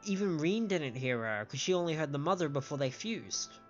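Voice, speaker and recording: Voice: mid-range voice, big pitch swings, brisk. A game streamer giving casual, analytical live commentary and explaining things in a conversational way. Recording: dull lo-fi mic over faint music. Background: faint game music.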